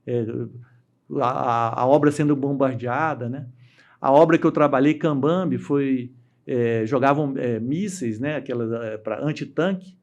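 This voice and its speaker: A middle-aged man from Brazil with a Brazilian Portuguese accent, telling stories as an interview guest in a halting, restart-heavy way, voicing the people he quotes. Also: medium pitch, a little mellow, lo-fi sound. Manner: halting, with many restarts and fillers; acts out quoted voices while telling stories. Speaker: interview guest, dam engineer. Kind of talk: casual storytelling interview.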